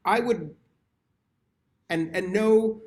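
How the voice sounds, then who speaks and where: A man leading a prayer service, giving a reflective, hesitant sermon full of pauses and restarts. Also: high voice, darkish tone. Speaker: service leader.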